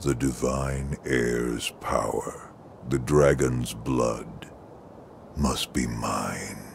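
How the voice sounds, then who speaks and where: An actor playing a scheming, domineering old shinobi father in a video game, with a gravelly voice and a mock-deferential manner. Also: extremely low pitch, steady tone, extremely slow, a little hiss or hum, exaggerated voice. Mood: menacing. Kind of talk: dramatic video game acting. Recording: loud music underneath.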